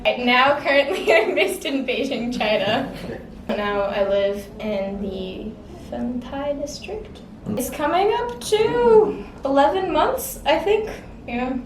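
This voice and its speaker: A woman's voice: sits high, lively intonation, slow, reverberant, far from the mic, slight background noise. A sixteen-year-old girl from the Czech Republic, an exchange student answering interview questions casually with lots of "like" and "um". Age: teens. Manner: casual, many fillers like "like" and "um".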